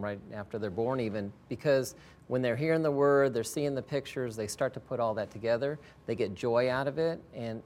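A man's voice: medium pitch, a little mellow. A man who works as a school educator, giving conversational answers as an interview guest.

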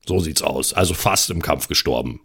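A man's voice: rumbling low, normal speed, fairly bright tone, slightly rough. A man on a podcast talking conversationally and improvising. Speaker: podcast cast member. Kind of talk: improvised in-character storytelling.

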